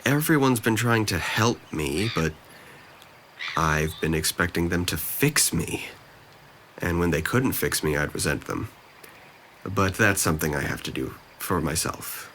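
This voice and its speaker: A young man playing a depressed, self-blaming young man in a drama, speaking haltingly and subdued. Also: low voice, animated, fairly bright tone, a little hiss or hum, exaggerated voice. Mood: anxious, resigned. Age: young adult. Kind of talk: naturalistic dramatic dialogue.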